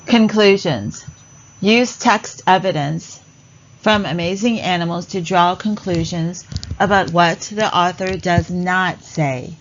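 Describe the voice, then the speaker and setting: A woman narrating a read-along lesson for children in a deliberate, instructional way. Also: lowish voice, dull audio, slight background noise.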